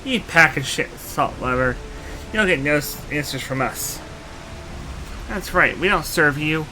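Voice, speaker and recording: A male actor playing a rough, cowardly bandit henchman in a fantasy game, coarse and blustering. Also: a little high, animated, measured pace, pushed and bright, a little hiss or hum, exaggerated voice, low-quality recording. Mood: defiant. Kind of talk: fantasy video game voice acting.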